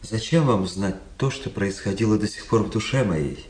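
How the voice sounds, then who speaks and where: An actor playing a guarded young gentleman suitor in a radio drama, speaking in a restrained, subdued and earnest way. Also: lowish voice, expressive, normal speed, natural voice. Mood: remorseful, guarded. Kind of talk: Russian radio drama acting. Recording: roomy studio echo, faint music.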